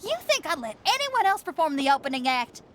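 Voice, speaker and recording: A woman's voice: sits high, sing-song, a little fast, a bit bright, a little hiss or hum. A woman voicing a bold, eager young female rock musician in a video game, confident, punchy and informal. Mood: cocky, proud.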